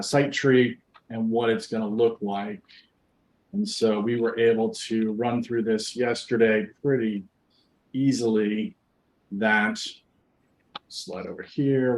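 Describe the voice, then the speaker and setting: Echoey, lower-voiced man presenting. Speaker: webinar host and software demonstrator. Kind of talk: informal webinar product demonstration. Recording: roomy, echoing microphone sound.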